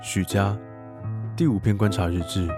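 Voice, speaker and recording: A man's voice: lowish voice, normal speed, over music, natural voice. An actor playing a young male tutor who narrates his diary of spying on a family, with a judgmental tone. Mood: reflective. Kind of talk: radio drama acting.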